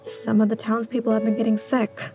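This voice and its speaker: A woman playing a reserved, uneasy small-town schoolteacher in an audio drama, speaking in a hesitant, hushed way. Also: fairly high pitch, flat delivery, brisk, close to an everyday voice. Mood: uneasy, guarded. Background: music.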